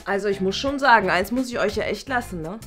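A woman's voice: medium pitch, lively intonation, quick pace, fairly bright tone, faint music behind, lightly acted. A young woman playing a stern, condescending female teacher who rambles with fillers as she hands out a bad grade. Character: stern adult female teacher grading pupils. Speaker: actor in a classroom sketch series.

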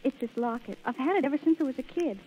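Sweet loyal eighteen-year-old girl, American, high voice, hesitant, old radio drama. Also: animated, fast, mellow, breathy edge. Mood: tender, regretful. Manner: earnest, hesitant. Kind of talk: 1930s radio drama acting. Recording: dull vintage radio recording.

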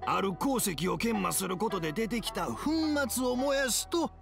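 Elderly anime game father: Kansai accent, hearty, rambling, creaky, music underneath. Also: high voice, expressive. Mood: storytelling. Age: older. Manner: hearty, rambling, slow to catch on. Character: genial, hard-of-hearing old fireworks craftsman father.

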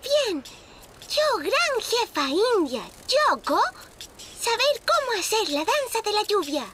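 A woman's voice: extremely high pitch, very animated, slow pace, a little hiss or hum, full character voice. A woman voicing a playful, giggly little girl ladybug in a cartoon. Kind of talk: cartoon dub acting. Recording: studio voice over loud music.

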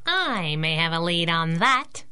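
A woman's voice: fairly low pitch, big pitch swings, a little slow, pushed and bright, clean tone, full character voice. A woman playing a plucky, wisecracking young sidekick in a 1930s-style radio drama, sassy and slangy.

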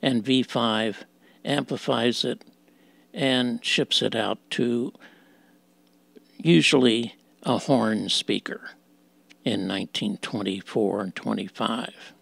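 A man giving an informal technical walkthrough, explaining in short, halting phrases as a demonstration presenter. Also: medium pitch, creaky, a bit bright, no echo. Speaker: presenter of a vintage radio demonstration.

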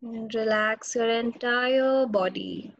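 A woman with an Indian accent leads a guided meditation as a teacher, giving slow instructions with long pauses between them. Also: fairly high pitch, dry recording. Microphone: video call. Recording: dull, muffled webinar audio.

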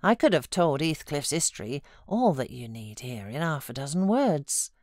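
A woman's voice: low-pitched, sing-song, normal speed, darkish tone, no echo, clean studio sound. Woman reading as a scolding British housekeeper. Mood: brisk, scolding. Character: chatty, scolding female housekeeper telling tales.

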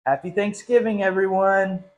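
A young man with a fairly high-pitched voice reads a picture book aloud. He addresses young listeners in a friendly, animated storytime style. He sits in a small classroom, a little way from the camera. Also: normal speed. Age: young adult. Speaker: literacy council director reading a story.

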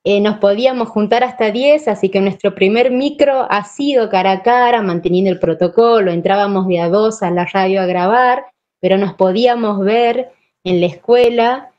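Female teacher, thirties, Santiago del Estero Argentine accent, medium pitch, dull call sound. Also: normal speed, a little mellow. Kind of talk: informal online presentation. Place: wood-panelled room at home. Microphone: video call. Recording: dull video-call audio.

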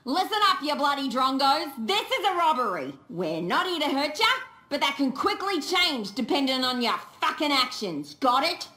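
A brash Australian woman robber from a video game, mocking and abusive. Her voice is high and sharp, with a clear echo around it. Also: big pitch swings, normal speed, full character voice. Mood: contemptuous, threatening. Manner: mocking, swearing, threatening. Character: brash, abusive, foul-mouthed young female bank robber. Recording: echoing room sound.

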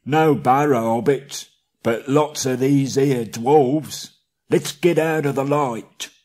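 A man voicing a coarse, argumentative troll with drawn-out rustic speech in a storybook reading. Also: medium pitch, a little mellow, voice pushed up. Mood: menacing.

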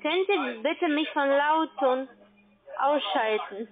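A woman's voice: sits high, slow, crowd noise. A woman with a foreign accent, a non-native speaker, questioning a customer as a call centre agent. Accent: foreign accent in German. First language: not German. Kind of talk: phone sales questioning. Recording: tinny narrowband phone line.

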